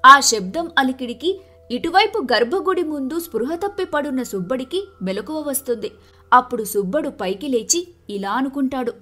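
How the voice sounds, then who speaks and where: High, bright woman storyteller. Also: lively intonation, moderate pace. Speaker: storyteller, narrator. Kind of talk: scripted moral-story narration.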